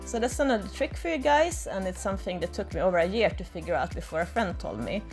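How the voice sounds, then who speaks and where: A woman's voice: medium pitch, lively intonation, quick pace, faint music behind. A young woman with a Swedish accent, chatting enthusiastically in a casual game guide recorded on an outdoor balcony. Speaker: gaming YouTuber. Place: outdoor balcony, tropical resort.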